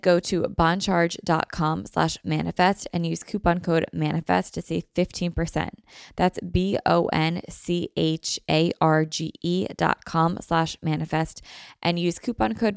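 A woman's voice: fairly low pitch, vocal fry, clear, resonant tone, no echo, clean studio sound. A young woman hosting a casual podcast, chatty and full of fillers. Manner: bubbly, filler-heavy chatter. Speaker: podcast host. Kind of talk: casual podcast chat and read ads.

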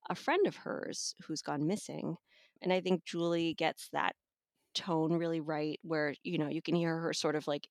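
A woman's voice: sits low, no echo, studio quality. A woman reviewer talking casually and conversationally as she discusses a book as a guest. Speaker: audiobook reviewer and podcast guest.

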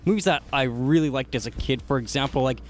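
A man's voice: mid-range voice, fast talker, a little hiss or hum. A man on a radio show panel, joking in an amused way. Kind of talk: casual radio chat.

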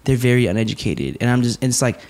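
A man's voice: medium pitch, fast talker. Young man with a slight Mexican accent. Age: young adult. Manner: blunt, swears freely, animated rants. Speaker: podcast co-host. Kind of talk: casual podcast chat.

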